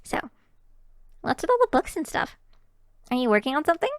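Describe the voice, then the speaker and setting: Woman acting shy, cheery high-voiced fox-girl barista. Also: sing-song, brisk, no echo, studio quality. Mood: curious, eager. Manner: bashful, hesitant, giggly, chatty. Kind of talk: ASMR roleplay, speaking to the listener.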